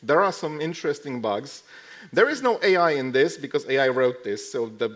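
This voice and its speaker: A man gives an informal technical talk to a live conference audience. His delivery is dry and joking, with deadpan asides dropped between plain explanations. His voice sits at a middle pitch for a man. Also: moderate pace. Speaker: conference speaker. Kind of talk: casual lightning tech talk with live demo.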